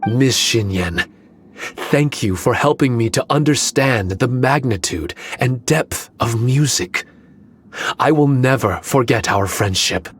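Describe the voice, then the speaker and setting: A man playing a polite, gentle mystic scholar in a video game, formal, measured and earnest. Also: medium pitch, lively intonation, slight background noise. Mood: earnest, grateful.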